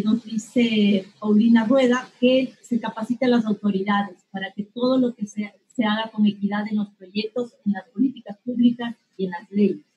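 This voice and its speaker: A woman moderating a webinar, reading out audience questions in a halting way with many fillers. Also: fairly high pitch, dark tone, husky. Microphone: video call. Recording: dull lo-fi online call audio.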